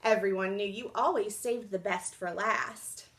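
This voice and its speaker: A young woman reading a children's storybook aloud indoors in an expressive, dramatic storytime style. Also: mid-range voice, unhurried. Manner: animated, dramatic. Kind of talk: expressive picture-book read-aloud. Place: small indoor room.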